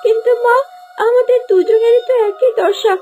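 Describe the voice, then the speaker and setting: A woman's voice: very high voice, steady tone, normal speed, dark tone, lo-fi sound, slight background noise. A woman voicing a loyal young daughter in a plaintive, theatrical manner for a fairy-tale cartoon. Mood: tearful, shocked. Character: young daughter, tearful and loyal; also scheming impostor princess.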